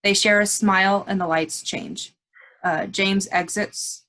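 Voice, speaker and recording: A woman's voice: mid-range voice, fairly even intonation, a little slow, sharp tone. A woman reading out stage directions as scripted narration at a play's table reading.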